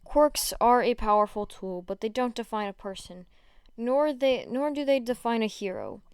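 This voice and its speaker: Picture a woman playing an emotionless male hero in a story, speaking with quiet, deadpan conviction. The voice is clean and smooth, with no room sound around it. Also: a little high, normal speed, no room sound, clean studio sound. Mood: calm conviction.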